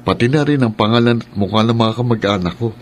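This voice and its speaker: A man's voice: medium pitch, fast, natural voice. A lazy, lovestruck college student in a radio drama, played by an actor, coaxing his friend into favors. He has a plain, mid-range voice and an easygoing, pleading way of talking. A faint ambient hiss runs underneath. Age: young adult.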